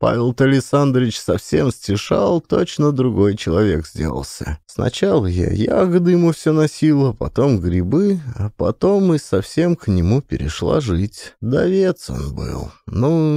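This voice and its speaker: A man's voice: mid-range voice, very animated, a little slow, creaky, few pauses, mellow, no echo, clean studio sound, heavily acted. A man voicing a rueful old Siberian healer woman in an audiobook, telling her story in folksy, sighing speech. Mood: wistful, confessing. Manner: folksy dialect speech with sighs. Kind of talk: dramatized audiobook reading.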